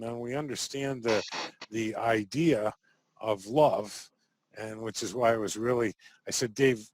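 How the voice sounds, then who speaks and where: A man hosting a casual interview, chatty and quick to laugh, often ending thoughts with a questioning "right?". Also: medium pitch, dry recording. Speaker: interview host.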